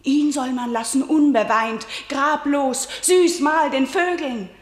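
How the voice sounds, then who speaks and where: Casting: defiant grieving tragic sister, higher-pitched, solemn stylized verse, radio drama, echoey room. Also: female voice, slow pace. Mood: grave, bitter. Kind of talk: German classical tragedy radio play.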